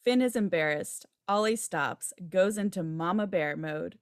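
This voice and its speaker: A woman's voice: lowish voice, measured pace, clear, resonant tone, dry recording. Woman reading stage directions, dry sound. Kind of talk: scripted narration. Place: home room, video call.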